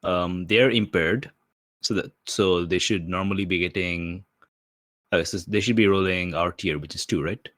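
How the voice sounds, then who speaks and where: A man's voice: sits low, moderate pace, a bit bright, no room sound, clean studio sound. A man chatting casually as a player in a tabletop game.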